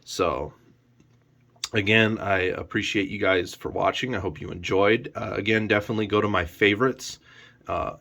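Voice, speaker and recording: A man's voice: fairly low pitch, fairly bright tone. A man talking casually and unscripted as a reviewer in a vlog, rambling along with frequent "you know" hedges. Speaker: YouTube collector reviewer.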